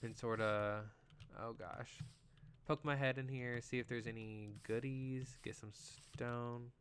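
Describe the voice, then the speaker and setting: A nasal-voiced man, still recovering from an illness, chatters through a game stream in an easygoing, excitable way. He sits at a middle pitch and fills his talk with "like" and "you know". Loud background noise runs under his voice the whole time. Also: takes it slowly. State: just getting over an illness. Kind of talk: casual live gameplay commentary.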